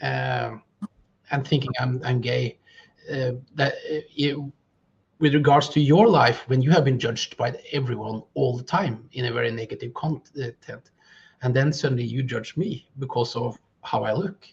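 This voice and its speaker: A man whose first language is Norwegian answers interview questions in English. He is reflective and pauses with fillers as he thinks. His voice is husky, and the recording sounds dull and lo-fi. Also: mid-range voice, a little mellow. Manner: thoughtful, hesitant with fillers. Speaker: guest speaker. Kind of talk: interview conversation.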